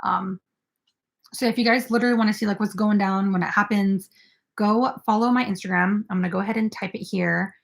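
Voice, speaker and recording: A woman's voice: medium pitch, steady tone, a little fast, creaky. A young woman hosting a casual crochet live stream, chatting with viewers and filled with "like" and "um". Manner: chatty, filler-heavy, reads viewer comments aloud.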